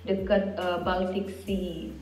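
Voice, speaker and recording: Wanita usia dua puluhan dari Indonesia dengan aksen Indonesia, mengobrol santai sebagai narasumber dan sering menyelipkan bahasa Inggris. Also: female voice, fairly low pitch, flat delivery, takes it slowly, darkish tone. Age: 20s. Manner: chatty, mixes English into Indonesian. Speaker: interview guest, student living abroad. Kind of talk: casual conversational interview. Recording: roomy echo, music underneath. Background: background music.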